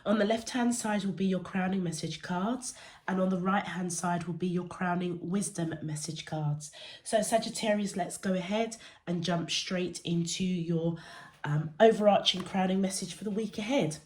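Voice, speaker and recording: A woman's voice: on the low side, normal speed, a little mellow. A woman with a cold and a stuffy nose, giving a weekly tarot card reading in an earnest, meandering way with frequent hesitations. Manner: earnest, addresses the listener directly. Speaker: tarot reader.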